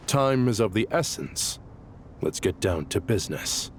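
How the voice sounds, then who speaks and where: Formal envoy with lively intonation. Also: male voice, lowish voice, slow, creaky, a little hiss or hum, full character voice. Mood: earnest, formal. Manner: formal, earnest. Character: formal, businesslike male envoy, earnest negotiator. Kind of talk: video game character acting.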